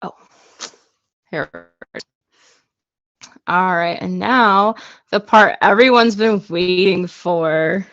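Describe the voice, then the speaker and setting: Chatty woman teacher with creaky voice. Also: fairly low pitch, extremely slow, pauses often, a bit bright, no echo. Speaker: online English class teacher. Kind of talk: casual live online lesson. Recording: muffled lo-fi video call.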